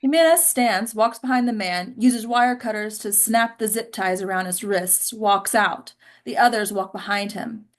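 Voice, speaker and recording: A woman's voice: medium pitch, moderate pace. A woman reading stage directions aloud as the narrator at a script table read.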